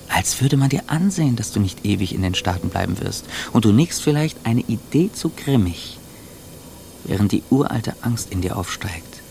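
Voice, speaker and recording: A man's voice: fairly low pitch, gravelly, a little mellow. A man playing a bitter, anxious passenger, delivering a sardonic, contemptuous interior monologue in a radio play. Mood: anxious, seething. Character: bitter, anxious man seething silently on a plane. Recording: faint background ambience noise.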